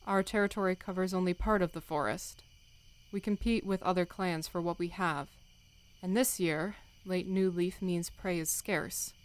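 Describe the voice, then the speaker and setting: A woman's voice: fairly low pitch, fairly even intonation, close to an everyday voice. Woman playing a stern, dignified cat clan leader. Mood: guarded. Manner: measured, solemn, commanding. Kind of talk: audiobook fandub acting.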